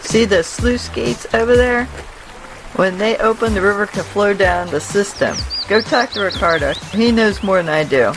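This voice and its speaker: A woman's voice: mid-range voice, normal speed, music in the background, close to an everyday voice. An actress playing a friendly, informative woman at a survivor camp in an audio drama, chatty and explanatory. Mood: friendly, explaining.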